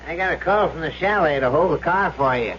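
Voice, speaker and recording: An actor playing a breezy, familiar, needling cable car operator in a radio drama. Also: male voice, a little high, animated, brisk, fairly bright tone. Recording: dull noisy old radio recording.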